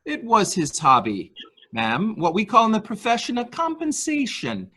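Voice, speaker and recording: A man's voice: high voice, wildly swooping pitch, moderate pace, sharp tone, breathy edge, full character voice. A man playing a deferential male nurse-attendant in a murder mystery reading, speaking formally, hesitantly and obsequiously. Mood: deferential, careful. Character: deferential male nurse-attendant to a rich invalid, primly servile.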